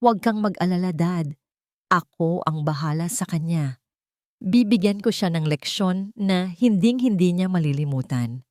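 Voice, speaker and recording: A woman's voice: on the low side, animated, moderate pace, a little mellow, dry recording, clean studio sound, lightly acted. A woman voicing a scheming young female villain in an audio drama, cold, smug and mocking. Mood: menacing contempt.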